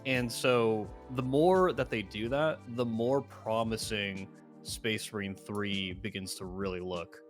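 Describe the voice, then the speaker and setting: A man's voice: fairly low pitch, steady tone, slow pace. A man appearing as a guest in a casual gaming chat, talking in an informal, slangy manner. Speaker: guest co-commentator. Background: background music.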